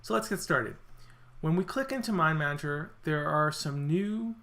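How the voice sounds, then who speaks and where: A man walking through a software feature in a screen-recorded demo, explaining step by step, his voice a little worn as he clears his throat. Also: a little high, normal speed. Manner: relaxed explanatory walkthrough with self-corrections. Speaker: software product presenter.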